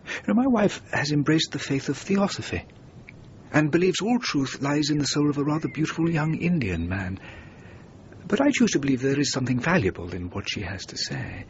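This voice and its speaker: An actor in a radio drama playing a witty, idealistic middle-aged architect who speaks with urbane, formal eloquence. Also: male voice, big pitch swings, fairly quick. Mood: reflective. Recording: muffled radio recording with rumbling noise.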